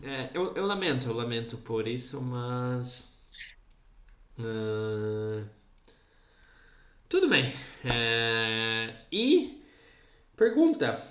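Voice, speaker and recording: Casual: podcast host, talks slow like a teacher, kinda lively, echoey room. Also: medium pitch, slight background noise. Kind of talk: podcast interview. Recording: roomy echo.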